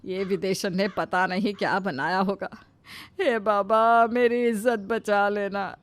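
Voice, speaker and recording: A woman's voice: medium pitch, fairly even intonation, takes it slowly, no room sound, natural voice. A woman voicing a scolding, lamenting Indian mother-in-law in a dramatised cartoon moral story. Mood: dreading.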